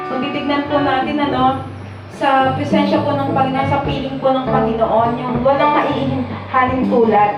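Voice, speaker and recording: A Filipino woman with a fairly high voice leads worship in devotional exhortation. She speaks haltingly and repeats phrases, heard from a distance in heavy echo with loud music behind her. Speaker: church worship leader.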